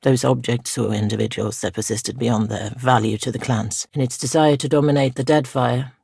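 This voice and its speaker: Soft, creaky ancient spirit guardian. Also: female voice, extremely low pitch, fairly even intonation, no room sound, studio quality, heavily acted. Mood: grave, foreboding. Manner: solemn, measured, archaic formal phrasing. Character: ancient female spirit guardian, solemn, weary, formal. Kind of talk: video game character dialogue.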